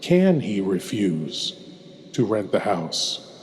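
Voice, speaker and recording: Slow, cavernous male horror host. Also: deep voice, very animated. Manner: ominous, deliberate, dramatic pauses. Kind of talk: theatrical scripted horror-host narration. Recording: long hall reverb, faint music behind.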